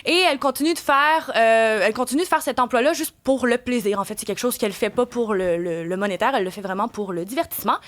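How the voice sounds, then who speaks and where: A young woman with a Québécois accent tells a true crime story in a radio studio. Her voice sits high. She is playful and lively, and she lowers her voice for the darker details. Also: quick pace, hardly any pauses. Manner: lively, playful storyteller who drops to a hushed tone for grim details.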